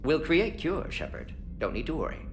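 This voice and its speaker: An actor playing a brisk, confident alien scientist in a video game, talking in clipped, telegraphic phrases. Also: male voice, fairly high pitch, big pitch swings, soft background music. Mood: reassuring. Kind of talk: video game character acting.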